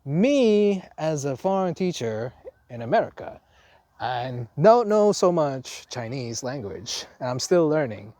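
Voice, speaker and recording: A man's voice: a little high, big pitch swings, measured pace, warm tone, dry recording. A young man from Indonesia with an Indonesian accent, telling his vlog audience about his life abroad in a loose, rambling way full of restarts and fillers. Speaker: vlogger, foreign teacher. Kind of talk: unscripted casual vlog talk.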